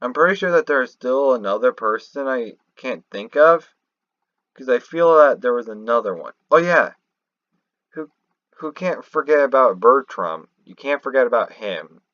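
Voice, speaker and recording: A man giving unscripted commentary on game lore in a halting, rambling way, often restarting mid-thought. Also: medium pitch, lively intonation, fairly bright tone, no echo, muffled recording. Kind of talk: unscripted theorizing commentary over a screen recording.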